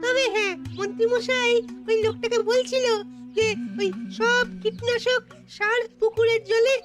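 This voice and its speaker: A woman's voice: very high-pitched, flat delivery, measured pace, over loud music. A woman voicing a keen little village child who brings news in a Bengali cartoon.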